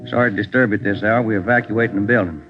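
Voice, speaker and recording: An actor playing an eager, dutiful police sergeant in a 1940s radio crime drama, brisk and urgent. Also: male voice, fairly low pitch, steady tone, lightly acted. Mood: brisk, polite. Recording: dull old radio recording with music.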